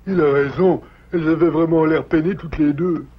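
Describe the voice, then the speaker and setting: A man's voice: on the high side, steady tone, normal speed, slightly rough, lo-fi sound. A man voicing a simple, obliging, oafish animal sidekick in a French cartoon dub. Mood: sympathetic.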